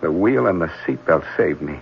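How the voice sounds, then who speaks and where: A man playing a smooth, evasive young heir in an old-time radio drama, clipped and impatient, hesitant when pressed. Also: a bass voice, lively intonation, normal speed, exaggerated voice. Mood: grim, bitter. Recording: muffled, noisy old radio broadcast recording.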